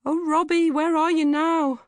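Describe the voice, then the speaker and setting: A woman's voice: sits high, level tone, measured pace, dry recording, studio quality, pitched above the performer's own voice. A woman voicing a melodramatic, self-pitying fourteen-year-old British girl in a comic audiobook diary, complaining theatrically. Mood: lovelorn, wailing. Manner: exaggerated, indignant, theatrical complaining. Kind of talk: comic first-person audiobook diary narration.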